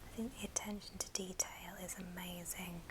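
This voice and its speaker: A woman whispering softly and breathily in a gentle, affectionate ASMR show and tell. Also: on the low side, little pitch movement, moderate pace, heavy background noise. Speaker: ASMR video host.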